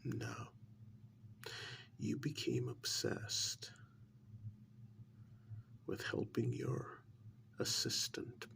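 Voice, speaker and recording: A man playing a sly, insinuating interrogator in an ASMR role-play, speaking in a slow, deliberate, soft whisper with mock admiration and dramatic pauses. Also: mid-range voice, sing-song, a little hiss or hum, full character voice. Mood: mock reproach. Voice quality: breathy whisper with gravelly creak. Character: sly, insinuating male interrogator needling a mad scientist.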